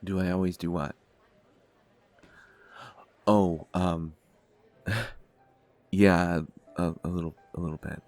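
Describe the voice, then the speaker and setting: A man playing a shy, gentle young god of desire in an intimate audio date roleplay, speaking politely with many hesitations and stammers. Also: deep voice, steady tone, takes it slowly, pauses often. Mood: sheepish, amused.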